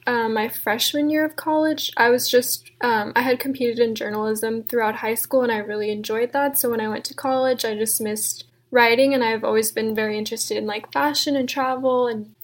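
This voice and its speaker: A young woman hosting a podcast, her voice sitting a little high. She talks in a friendly, chatty way with plenty of fillers, over a video-call mic. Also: fairly even intonation, normal speed, few pauses. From Texas. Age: 20s. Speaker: podcast host. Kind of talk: casual video-call interview.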